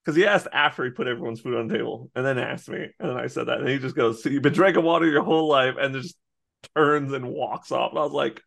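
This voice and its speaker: Gravelly American man telling stories. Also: medium pitch, quick pace, a bit bright. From United States. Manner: laughs often, rambling storytelling with restarts. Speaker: podcast co-host. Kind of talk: casual chat.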